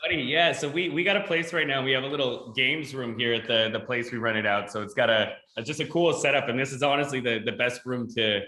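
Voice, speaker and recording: A man in his thirties from Ontario hosts a casual interview. His voice is bright and forward, at a medium pitch, and he rambles eagerly with some self-deprecation. The room around him is makeshift and echoey. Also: a little fast, hardly any pauses. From Ontario, Canada. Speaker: podcast host. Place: games room in a rented house.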